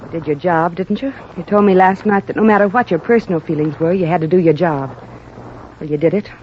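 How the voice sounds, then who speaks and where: Actress doing a scared young dancer, pleading, emotional, old radio play, dull lo-fi sound, faint hiss. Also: female voice, fairly low pitch, steady tone, a little fast, close to an everyday voice. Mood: defensive. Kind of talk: 1940s radio acting.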